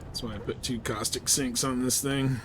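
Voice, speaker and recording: A man's voice: mid-range voice, steady tone, moderate pace. A man giving casual live commentary as he plays a game, muttering reactions to the action. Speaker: game streamer. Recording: faint background noise.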